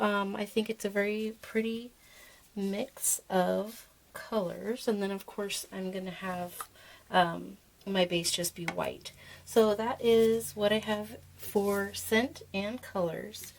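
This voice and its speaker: A woman with a hoarse, froggy voice from being sick, casually walking through a soap-making demonstration with many fillers. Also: medium pitch, slow pace. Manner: relaxed, rambling, with many fillers. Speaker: soap maker and channel host.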